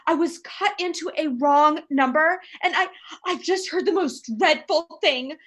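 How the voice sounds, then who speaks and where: A woman's voice: high-pitched, measured pace, a bit bright. A woman playing a nervous, frail, imperious housewife in a radio play, fretful and dramatic. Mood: horrified, frantic.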